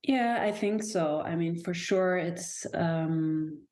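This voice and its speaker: A woman's voice: lowish voice, slow, a little mellow. A German woman speaking English as a second language, reflective and hesitant, often restarting as she searches for words. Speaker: guest, dance and movement teacher. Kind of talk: reflective podcast conversation.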